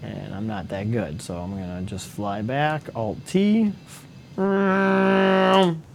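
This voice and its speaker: A man giving casual, playful commentary while playing a space combat game, reacting quickly and laughing often. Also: mid-range voice, fairly even intonation, a little hiss or hum. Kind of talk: casual let's-play commentary.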